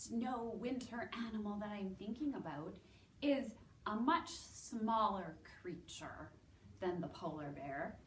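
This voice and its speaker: A woman with a Canadian accent presenting to young children as a story time host, in an animated way with simple, drawn-out wording. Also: fairly high pitch. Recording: roomy, distant mic with background noise.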